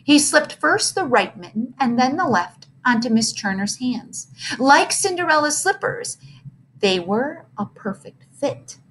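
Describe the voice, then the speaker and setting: A woman narrating a children's storybook aloud in an expressive, dramatic way. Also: high-pitched, unhurried, slight background noise, poor audio.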